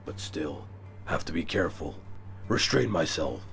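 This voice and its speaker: Brooding vigilante for audio drama, male actor: low, gravelly, stern, clipped and guarded. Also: expressive, moderate pace, music in the background, heavily acted. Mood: tense, calculating. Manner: terse, controlled, stern. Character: brooding, guarded billionaire vigilante, adult man.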